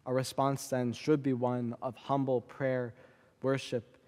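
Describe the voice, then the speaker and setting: A man in his thirties preaching a sermon from a church pulpit, with a measured, rhythmic delivery built on lists and repetition. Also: mid-range voice, flat delivery, darkish tone. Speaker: priest. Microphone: pulpit microphone. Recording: long church reverb.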